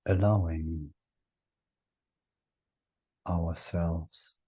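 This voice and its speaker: A man leading a guided meditation in a gentle, hushed manner, leaving long pauses between short phrases. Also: low voice, fairly even intonation, breathy edge, dry recording.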